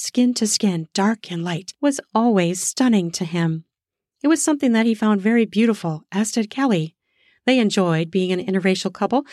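A woman narrating an erotic audio story in a slow, sensual way, lingering on words for emphasis. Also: mid-range voice, a little mellow, dry recording, clean studio sound. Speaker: storyteller, podcast host.

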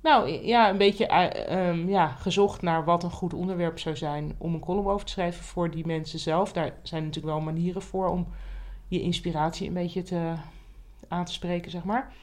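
Low-pitched woman in casual chat. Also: fairly even intonation, moderate pace. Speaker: podcast co-host; writing teacher. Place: indoors on a couch.

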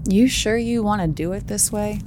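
A woman's voice: mid-range voice, moderate pace, noisy background, close to an everyday voice. An actress playing a wry, efficient and loyal female colleague in naturalistic audio drama dialogue. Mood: dry, brisk.

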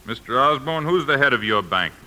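Radio play inspector: American, official and skeptical, hard bright edge, faint noise. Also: male voice, medium pitch, animated, poor audio. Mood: cool, suspicious. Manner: official, measured, probing. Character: suspicious, unflappable American customs inspector. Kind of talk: 1950s American radio drama acting.

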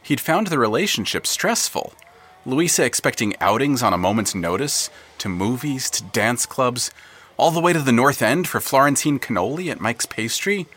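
Animated male story narrator with swooping pitch. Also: moderate pace. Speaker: narrator of an audio fiction podcast. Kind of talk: scripted literary story narration.

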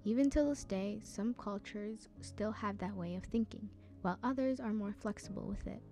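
A young woman host reading prepared interview questions and comments in an even way. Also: mid-range voice, normal speed, soft background music. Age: young adult. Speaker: youth interviewer. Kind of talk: scripted interview questions and narration.

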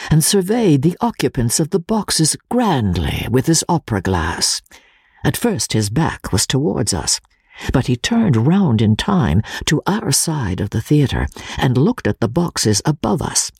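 A narrator giving a dramatic, theatrical reading of classic fiction in measured phrases. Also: mid-range voice, creaky, a little mellow, breathy edge, no room sound, clean studio sound. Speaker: audiobook narrator. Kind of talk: dramatic scripted literary narration.

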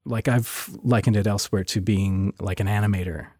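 Mellow, low-voiced man chatting casually. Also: fairly quick, husky, dry recording, clean studio sound. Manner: relaxed, laughs often, frequent restarts. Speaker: sound designer guest. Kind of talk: casual interview chat. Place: lives in Toronto.

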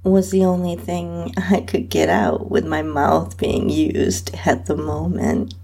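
Female narrator for intimate adult fiction: lowish, breathy and husky, with an expressive, swooping melody and lingering delivery. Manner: breathy, sensual, drawn-out delivery with soft moans. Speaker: podcast host and narrator reading own story. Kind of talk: intimate first-person erotic story narration.

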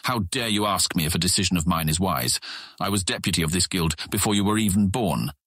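A stern older guild deputy in a fantasy audio drama. The voice is low and husky, formal and condescending, with the weight of command. It sounds close and dry. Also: male voice, fairly quick, fairly bright tone, dry recording. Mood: cold, indignant. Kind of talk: full-cast fantasy audiobook acting.

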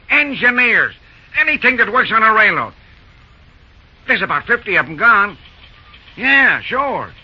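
Breezy railroad worker, old radio drama, high and lively, muffled recording. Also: male voice, husky, heavily acted. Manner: breezy slangy phone talk. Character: folksy young male railroad yard worker. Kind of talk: 1930s radio drama acting.

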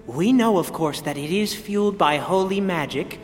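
An actor playing a haughty, pedantic elf scholar who talks in verbose, condescending phrases in a fantasy audio drama. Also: male voice, high voice, expressive, moderate pace, in a large hall. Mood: self-assured, condescending.

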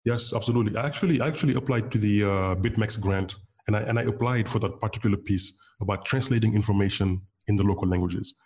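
A man's voice: lowish voice, fast, few pauses, darkish tone. A man from Senegal whose first language is Wolof, a guest on an interview, talking casually with many fillers and restarts. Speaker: interview guest, bitcoin developer.

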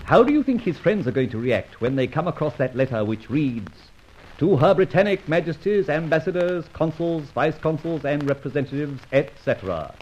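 An actor playing a suave, mocking gentleman adventurer in a vintage radio serial, with a breezily polite, sardonic manner. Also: fairly high pitch, very animated, a little fast, lo-fi sound. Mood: smug, relaxed. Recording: noisy old radio recording.

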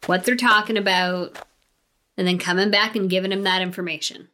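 A woman's voice: medium pitch, fairly even intonation, brisk, sharp tone, lightly acted. A woman playing a nagging, cheeky young male recruit in a tabletop game, questioning and talking back. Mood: curious. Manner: pestering, sarcastic back-talk.

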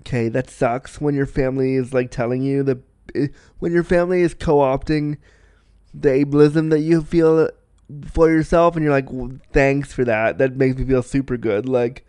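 Man, podcast host, sniffly with a cold, rambling chatty delivery, smooth voice, dry close mic. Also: mid-range voice, steady tone, moderate pace, warm tone, no echo. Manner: chatty, rambling, repeats himself. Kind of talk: casual solo podcast chat.

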